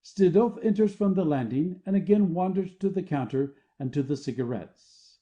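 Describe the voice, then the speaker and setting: Very dark-toned, expressive male narrator. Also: high-pitched, normal speed, muffled recording. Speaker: narrator reading stage directions. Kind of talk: scripted narration.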